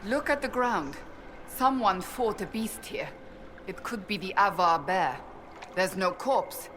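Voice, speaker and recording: A woman's voice: mid-range voice, expressive, slow pace, a bit bright, slight background noise. A woman playing an observant adventuring companion in a fantasy game. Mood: focused, alert. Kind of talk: scripted fantasy game dialogue.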